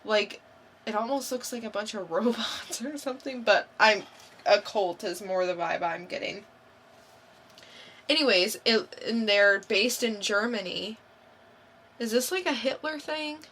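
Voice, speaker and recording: A woman's voice: on the high side, unhurried, fairly bright tone, slight background noise. A woman co-hosting a podcast, chatting casually and informally about comics, often thinking aloud in a hesitant way. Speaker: podcast co-host reviewing comics. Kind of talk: casual chat.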